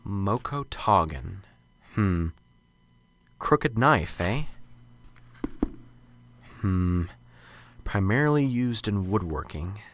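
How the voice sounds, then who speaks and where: A man's voice: lowish voice, expressive, extremely slow, pauses often, slight background noise, heavily acted. A man playing a nervous, unsure professor. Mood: musing. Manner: hesitant, stammering, muttering to himself. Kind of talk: amateur radio drama. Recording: music behind the voice.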